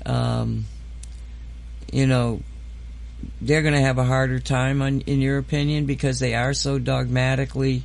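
A man's voice: medium pitch, little pitch movement, a little slow, vocal fry. A man hosting a casual podcast chat, rambling and hesitant, full of fillers and restarts. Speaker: host.

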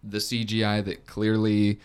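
A man's voice: fairly low pitch, completely flat delivery, a little slow, smooth. A man in a casual film discussion, conversational and loosely thinking aloud as a co-host.

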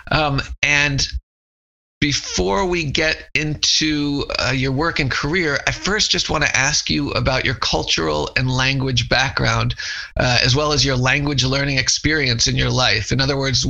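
Creaky, bright-voiced male interviewer. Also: medium pitch, fairly even intonation, a little slow, poor audio. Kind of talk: prepared interview questions.

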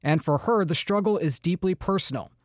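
A man introduces a news podcast in a measured, announcer-style read. His voice sits a little high. The studio sound is dry and close. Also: no echo. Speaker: podcast host, news director.

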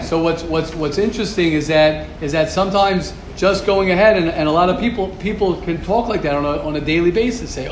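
A man teaching a Torah class, taking questions and acting out quoted gossip in a lively, repetitive way. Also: high-pitched. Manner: animated, acts out quoted gossip, repeats words. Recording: echoey room, noisy ambience.

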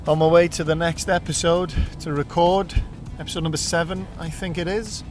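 A man's voice: fairly high pitch, steady tone, normal speed, soft background music. Chatty Welsh man talking from his car. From Wales. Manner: chatty and upbeat. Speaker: podcast host. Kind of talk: casual spoken intro while driving. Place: in a car on the road.

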